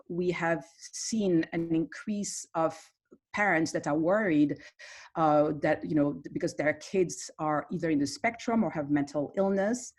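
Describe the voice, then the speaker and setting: A woman's voice: fairly low pitch, a little mellow. A woman from Morocco, a therapist, speaking earnestly on an online panel, hesitant with many fillers. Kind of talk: panel talk on a video call. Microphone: video call.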